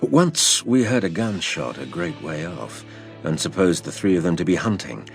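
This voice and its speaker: A man playing a seafarer who tells his past adventure in a measured, literary, expressive way. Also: faint music behind, full character voice. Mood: reflective storytelling. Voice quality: low, gravelly. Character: older seafaring man telling his past adventure to a boy, wry and fond. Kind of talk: dramatised storytelling narration.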